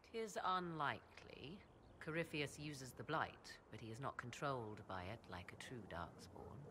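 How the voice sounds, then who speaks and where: An actress playing a proud, sardonic sorceress in a video game, with archaic, formal, haughty diction. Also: female voice, rumbling low, expressive, unhurried, noisy background. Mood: dry, sardonic. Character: proud, sardonic sorceress, devoted mother and lover. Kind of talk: dramatic video game dialogue.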